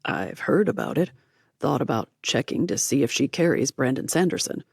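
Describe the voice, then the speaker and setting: A husky, gravelly young man, put on by a woman narrator, who keeps his answers short and dry. He sounds guarded and evasive, with his words dropped into a close, dead-dry audiobook recording. The voice sits a little lower than the narrator's own. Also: big pitch swings, normal speed, a little mellow, dry recording, exaggerated voice. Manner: dry, clipped, deflecting small talk. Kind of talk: audiobook character voice.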